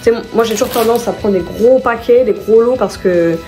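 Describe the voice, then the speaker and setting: A woman's voice: a little high, a little fast, warm tone, faint music behind. A woman vlogging casually at home, chatting and rambling through her shopping haul, often stopping to correct herself and laughing at her own slips.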